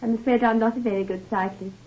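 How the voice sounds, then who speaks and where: Woman playing a genteel, high-voiced farm manager. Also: fairly even intonation, fast talker, a little mellow, a little hiss or hum, close to an everyday voice. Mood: pained, polite. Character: genteel, guarded, over-helpful female farm manager. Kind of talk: old-time radio drama acting. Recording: muffled, band-limited vintage radio recording.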